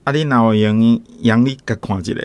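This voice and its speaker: A man's voice: medium pitch, brisk, a little mellow, lightly acted. A man with a Taiwanese accent playing a plain-spoken, folksy rural villager in a radio drama. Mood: worried, polite.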